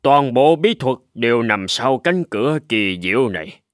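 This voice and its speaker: A shrewd older father-in-law from a cartoon fable, voiced by a man. He speaks calmly and solemnly at a mid pitch, measuring out his words. The sound is dry and close. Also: normal speed, dry recording, close to an everyday voice. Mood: solemn, assured. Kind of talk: cartoon voice acting.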